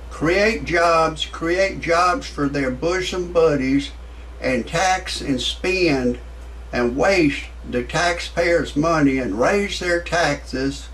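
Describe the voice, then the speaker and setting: A man's voice: on the high side, fairly bright tone, recorded from a distance, slight background noise, low-quality recording. A man, ranting profanely in a stand-up comedy monologue. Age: 60s. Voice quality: profane, blustering.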